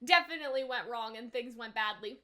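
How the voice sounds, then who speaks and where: High bright girly voice, woman doing casual reaction commentary, super chatty, says like and right a lot. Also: a little fast. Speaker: host of an anime reaction and review.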